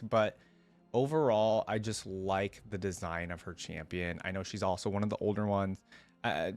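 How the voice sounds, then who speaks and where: A man giving casual, unscripted reaction commentary as a video host, talking in a rambling, conversational way. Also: on the low side. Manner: rambling, conversational, often hedging with "like" and "you know".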